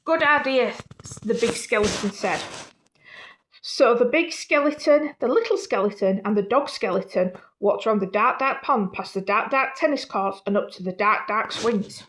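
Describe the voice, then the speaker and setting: A woman reading a children's picture book aloud in an animated way, with casual, playful, apologetic asides. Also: medium pitch, normal speed, a little hiss or hum. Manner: playful, self-interrupting, apologetic.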